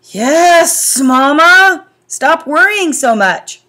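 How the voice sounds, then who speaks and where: A woman voicing a headstrong fifteen-year-old girl, raised and bright in tone. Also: high-pitched, lively intonation, drawn-out words, pitched above the performer's own voice, exaggerated voice.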